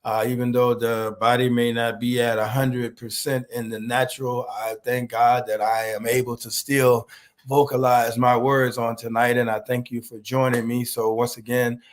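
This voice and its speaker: A male pastor with a hoarse, slightly nasal voice from a cold, giving an online sermon in a slow, halting way and greeting viewers by name. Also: mid-range voice, little pitch movement.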